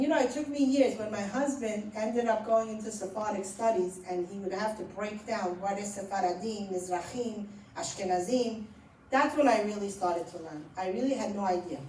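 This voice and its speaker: A middle-aged woman from Iran, an author answering audience questions at a library talk and telling her story in an animated way. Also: medium pitch. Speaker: memoir author. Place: library event hall. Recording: roomy, distant with faint noise.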